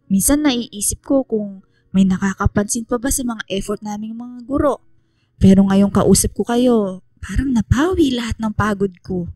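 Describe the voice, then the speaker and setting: A woman voicing a gentle, tired, motherly schoolteacher in a radio drama, speaking in measured phrases. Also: mid-range voice, dry recording, close to an everyday voice. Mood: grateful.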